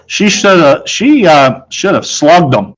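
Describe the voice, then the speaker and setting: A man's voice: fairly high pitch, very animated, takes it slowly, rough recording. Man reading a children's story aloud. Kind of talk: narrated read-aloud of a children's novel.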